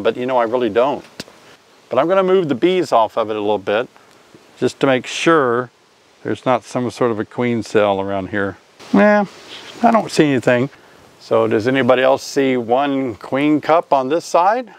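An older man teaching beekeeping in a casual vlog, chatty and often asking viewers questions, recorded outdoors by a hive. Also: medium pitch. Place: outdoors by a hive, then a covered porch.

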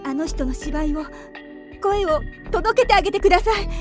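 A poised lady of the stage in an audio drama, commanding and insistent. Her very high voice carries formal, elegant phrasing over faint music. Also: animated, moderate pace. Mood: pleading, resolute. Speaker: voice actor. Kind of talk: Japanese audio drama acting.

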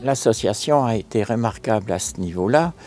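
Homme âgé, voix grave et douce, posé, formel, maire, plein air, léger fond musical. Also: male voice, on the low side, lively intonation, a little mellow, soft background music. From France. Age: older. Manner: measured, formal, a few fillers. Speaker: mayor. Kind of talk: interview answers, measured account. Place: outdoors on a stone chapel tower balcony.

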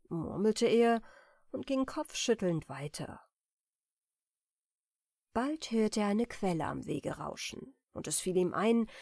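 Woman reading a bedtime story, soft n soothing, really expressive pitch, dry close sound. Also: dry recording. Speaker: audiobook narrator. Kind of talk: gentle bedtime fairy-tale reading.

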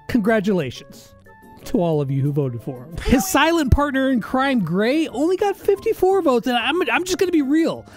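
Animated man hosting a game countdown. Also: very high voice. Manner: expressive, informal, emphatic. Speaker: video host. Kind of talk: upbeat countdown commentary on a video game. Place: indoor streaming studio. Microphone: close boom-arm microphone. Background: faint music.

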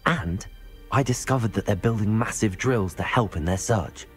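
A man's voice: on the low side, moderate pace, faint music behind, natural voice. An actor playing a polite, earnest young wizard student reporting news to his teachers in a game. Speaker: game protagonist.

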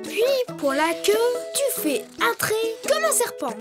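A performer voicing a brave, cheerful little boy rabbit in a preschool cartoon, explaining things clearly and encouragingly. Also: very high-pitched, big pitch swings, a little slow, exaggerated voice. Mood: instructive. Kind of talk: preschool cartoon voice acting, instructing. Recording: music behind the voice.

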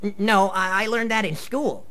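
A man's voice: high voice, normal speed, pushed and bright. An actor playing a nerdy teenage boy in a radio play, earnest and defensive, hesitant and wordy. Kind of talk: live radio play acting.

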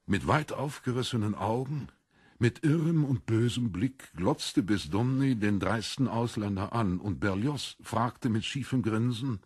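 A male narrator reads literary prose for an audio drama. His voice is fairly low and husky, recorded dry and close. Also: a little slow, no echo.

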